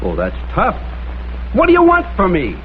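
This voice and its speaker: A man's voice: high-pitched, huge pitch swings, normal speed, a little hiss or hum. An American man playing a tough, wry freelance photographer in a 1950s TV crime drama, terse and sardonic. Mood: sardonic, dismissive. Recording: tinny, band-limited vintage TV soundtrack with music.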